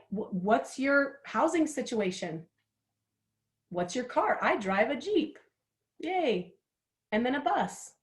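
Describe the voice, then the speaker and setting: A woman of forty with a chatty, candid manner, guiding listeners through a writing exercise and laughing at herself as she goes. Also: on the high side. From Utah, United States. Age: 40s. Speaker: workshop host. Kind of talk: guided writing workshop.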